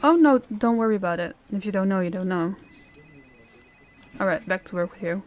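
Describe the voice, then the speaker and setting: A woman acting as a composed, authoritative female crime boss in an audio roleplay, speaking in a measured way. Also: medium pitch, lively intonation, darkish tone, close to an everyday voice. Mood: polite, controlled.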